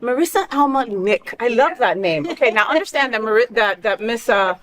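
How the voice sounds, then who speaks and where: A woman's voice: on the high side, expressive, fairly quick, fairly bright tone. A middle-aged woman hosting a casual interview, bubbly and teasing, laughing often. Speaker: host.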